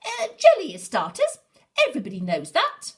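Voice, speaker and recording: A woman voicing a small, eager, boastful male storybook wolf in a squeaky, childish, blustering way. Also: wildly swooping pitch, normal speed, exaggerated voice.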